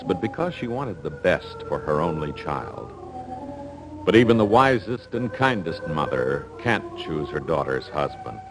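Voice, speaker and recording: A man's voice: low voice, rough recording. An American man hosting a radio drama, reading scripted narration in a 1950s announcer style.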